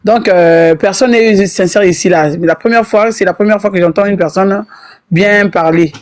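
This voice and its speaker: A woman from Brazzaville, speaking haltingly as a panelist in an online debate. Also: mid-range voice, darkish tone. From Brazzaville, Congo. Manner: hesitant, many fillers and restarts, defensive.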